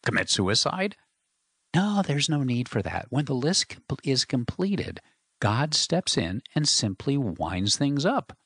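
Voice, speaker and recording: A man's voice: mid-range voice, very animated, slow, a bit bright, husky, no room sound, voice pushed up, exaggerated voice. A man voicing a worried young engineer who talks urgently and uneasily. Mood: urgent, uneasy.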